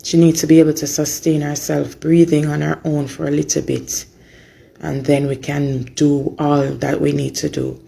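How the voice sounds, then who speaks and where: A woman with a Jamaican accent and a hoarse voice, giving an informal, earnest update to supporters and repeating phrases for emphasis. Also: low-pitched, flat delivery, moderate pace, warm tone. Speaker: mother giving an update on her daughter.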